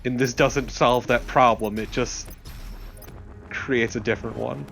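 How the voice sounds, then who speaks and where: A man's voice: medium pitch, steady tone, measured pace, gravelly, a bit bright, slight background noise. A man co-commentating on a video game in a conversational, blunt and opinionated way. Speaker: let's play co-commentator. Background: game music.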